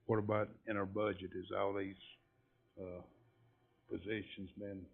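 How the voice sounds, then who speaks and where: Slow, flat-voiced man asking questions. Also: on the low side, creaky. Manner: halting, hesitant questioning. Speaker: school board member. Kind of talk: asking questions at a board meeting. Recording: tinny lo-fi meeting audio.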